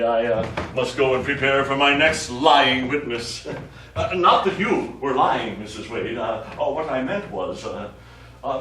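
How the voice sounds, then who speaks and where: A man's voice: medium pitch, a bit bright. A male actor as a pompous, ingratiating trial lawyer who stammers his way along. The sound is very echoey and distant, as from a stage, with faint noise behind it. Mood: flustered, fawning. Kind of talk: live stage play acting. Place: small theatre studio, recorded from the audience.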